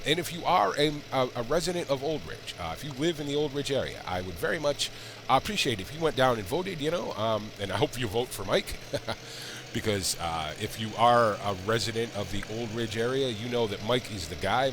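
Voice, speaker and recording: Congested man rambling over engine noise. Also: mid-range voice. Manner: rambling, chatty asides while playing. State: congested, sick. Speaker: gaming channel host. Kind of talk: casual let's-play commentary. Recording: loud game engine noise underneath.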